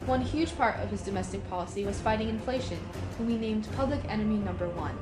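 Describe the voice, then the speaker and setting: Woman, medium-pitched, scripted narration, echoey recording, clear backing music. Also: fast talker. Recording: echoey room.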